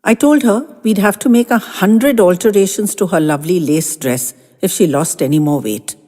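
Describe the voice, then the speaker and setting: A woman narrating a first-person story in a gentle, expressive reading with lingering phrases. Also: lowish voice, moderate pace, big-room sound.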